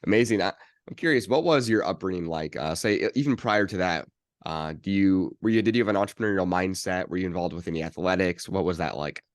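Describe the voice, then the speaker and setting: A man's voice: sits low, quick pace, no room sound, clean studio sound. A man hosting a podcast interview in a conversational style, politely putting questions to his guest.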